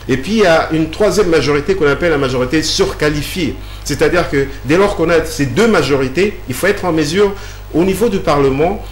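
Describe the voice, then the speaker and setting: A man answering interview questions at length, explaining patiently with many fillers and restarts. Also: a little high. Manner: hesitant, many fillers and repeated words. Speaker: guest. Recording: echoey room with faint hum.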